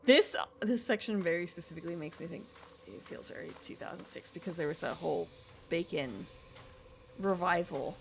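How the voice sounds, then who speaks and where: A woman's voice: on the low side, normal speed, creaky, a little hiss or hum. An American woman giving casual, rambling live game commentary as a streamer, chatting informally with viewers. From United States.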